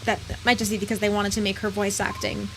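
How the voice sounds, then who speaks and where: A woman's voice: medium pitch, flat delivery, fast talker, creaky, fairly bright tone, slight background noise, low-quality recording. A woman streamer giving casual commentary on a game, thinking aloud and rambling with restarts. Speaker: let's play streamer.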